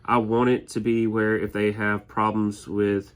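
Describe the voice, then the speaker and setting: A man's voice: lowish voice, flat delivery, a little slow. A man with a slight head cold, sniffling a little as he casually walks through his winning card game deck with plenty of fillers and restarts. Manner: easygoing, many fillers and restarts. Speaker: tournament winner presenting his deck.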